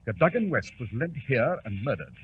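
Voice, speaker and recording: An actor playing a brisk, commanding, self-assured detective in a theatrical, crisp 1940s radio drama style. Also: male voice, medium pitch, big pitch swings. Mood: confident, explaining. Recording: tinny, noisy 1940s radio recording.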